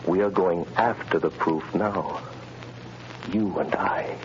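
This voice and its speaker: A man playing a mysterious invisible crime fighter, speaking in measured, authoritative commands in 1930s radio drama style. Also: low voice, highs cut off. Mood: commanding. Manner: measured, hypnotic, authoritative instructions. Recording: scratchy, hissy 1930s radio broadcast.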